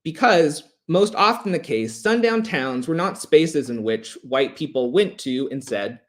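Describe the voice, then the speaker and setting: A man giving a prepared history presentation in a measured, emphatic, formal way, as a lecturer. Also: fairly high pitch, expressive.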